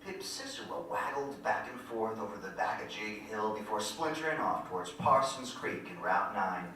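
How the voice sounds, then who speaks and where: A man's voice: lowish voice, animated, moderate pace, sharp tone. A man playing a wistful country narrator looking back on boyhood, telling it lyrically with folksy rural grammar. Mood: reflective. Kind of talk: poetic first-person monologue in staged reading. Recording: very echoey hall, distant mic, faint noise.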